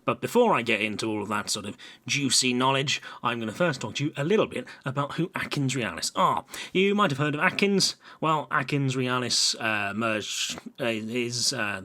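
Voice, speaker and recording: A young man from Brighton and Hove, an engineer giving a casual, chatty technical presentation with frequent filler words. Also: mid-range voice. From Brighton and Hove, England. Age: young adult.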